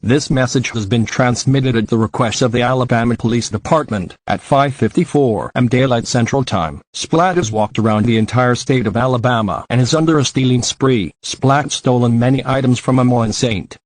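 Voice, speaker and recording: A man's voice: mid-range voice, normal speed, hardly any pauses, dry recording, low-quality recording. Dry, formal male emergency alert announcer. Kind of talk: scripted emergency broadcast announcement.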